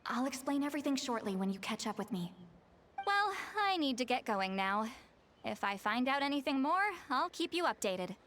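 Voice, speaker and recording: An actress voicing a composed, scholarly woman in a video game, explaining things in measured, articulate speech. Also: high-pitched, very animated, fairly bright tone, slight background noise. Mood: hushed, discreet. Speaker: character in a video game story quest. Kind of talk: scripted game dialogue, expository storytelling.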